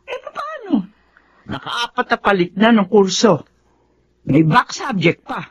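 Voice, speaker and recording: A woman's voice: mid-range voice, wildly swooping pitch, slow. An actress with a Filipino accent playing a fretful, exasperated middle-aged mother in a radio drama. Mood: fretful, disapproving. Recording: dull lo-fi sound, faint noise.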